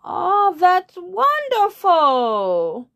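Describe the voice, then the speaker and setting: A woman voicing a snobbish, haughty head bird with a pompous, drawn-out way of speaking. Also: extremely high pitch, wildly swooping pitch, creaky, a bit bright, voice pushed up, heavily acted.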